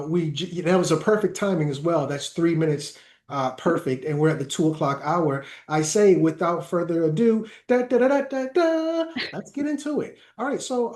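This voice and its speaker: A man hosting a workshop over a video call, talking slowly and hesitantly with many fillers. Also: sits high, darkish tone. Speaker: workshop host. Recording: roomy echoey video call audio.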